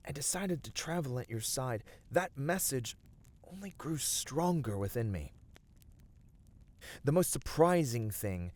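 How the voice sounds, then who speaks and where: A man playing a gentle, devoted male battle dancer of a fantasy race in an ASMR roleplay, speaking earnestly and soothingly with dramatic pauses. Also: mid-range voice. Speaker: voice actor.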